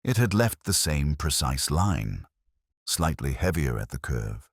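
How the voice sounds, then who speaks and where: A man voicing a reflective police inspector who narrates his case in the first person, measured and literary. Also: a bass voice, very animated, vocal fry, slightly rough, no room sound, clean studio sound, exaggerated voice. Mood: brooding. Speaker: detective narrator. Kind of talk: scripted first-person radio-drama narration.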